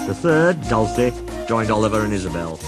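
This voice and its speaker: A man's voice: lowish voice, very animated, brisk, over music. A man reading a scripted children's story as its narrator.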